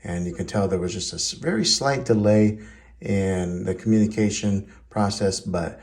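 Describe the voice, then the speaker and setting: A man's voice: lowish voice, moderate pace, a little mellow. A man presenting a casual hands-on tech review, explaining things step by step in a plain-spoken, conversational way. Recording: echoey, roomy indoor sound.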